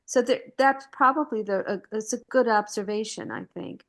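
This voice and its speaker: A woman in a book club chatting in an animated way on a video call. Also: on the high side, moderate pace. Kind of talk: casual group chat.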